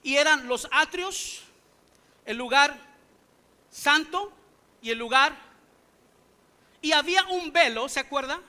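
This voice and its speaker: A man's voice: extremely high pitch, expressive, takes it slowly, pauses often, pushed and bright. A male pastor preaching a sermon in a church, emphatic and full of rhetorical questions to the congregation, speaking in a language other than his native English. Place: church sanctuary.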